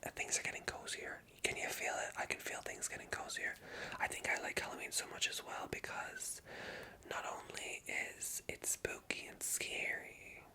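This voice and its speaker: An ASMR creator speaking in a soft whisper, chatting casually and rambling along as they address viewers directly. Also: moderate pace. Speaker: ASMR video creator and storyteller.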